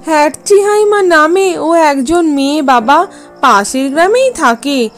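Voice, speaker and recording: A firm middle-aged mother from a cartoon folk tale, put on by a woman, disapproves emphatically. Her high voice sits over faint music. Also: expressive, measured pace. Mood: dismissive. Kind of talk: cartoon fairy-tale acting.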